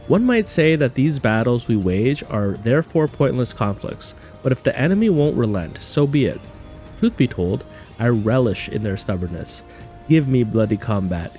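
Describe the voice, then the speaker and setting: A man's voice: medium pitch, darkish tone, lightly acted. A man voicing a proud war general in formal, theatrical readings of letters from a game. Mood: proud, relishing.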